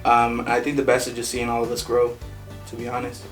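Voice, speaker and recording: A man's voice: medium pitch, monotone, fairly quick. A man in his twenties, a band member, chatting in a rambling way with lots of fillers. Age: 20s. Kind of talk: casual chat. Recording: distant mic with background music.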